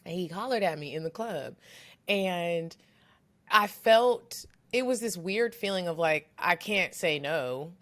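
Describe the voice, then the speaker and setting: A woman's voice: on the low side, expressive, measured pace, fairly bright tone. A woman co-hosting a podcast, asking questions and sharing stories in a casual, conversational way. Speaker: podcast co-host.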